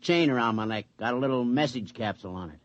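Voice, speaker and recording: An actor playing a dying old spaceman in a radio drama, fatherly, halting and confiding. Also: mid-range voice, lively intonation, no room sound, close to an everyday voice. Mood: weary, confiding. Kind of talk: 1950s radio drama acting. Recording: dull lo-fi vintage radio recording.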